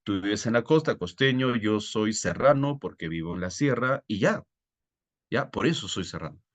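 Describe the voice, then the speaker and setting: A man with a fairly low voice and a Peruvian Spanish accent leads a live online lesson. He explains in a didactic way, often asking questions and checking that his students follow. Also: expressive, normal speed. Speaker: teacher, exam-prep tutor.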